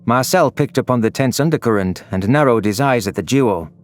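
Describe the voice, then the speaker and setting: A man's voice: medium pitch, lively intonation, brisk. A man reading scripted, dramatic narration for a science fiction audio story. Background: background music.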